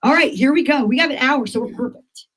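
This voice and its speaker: Female host, very high voice, informal chat for a homemade show. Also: fairly quick, crowd noise. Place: home basement studio. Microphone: studio microphone.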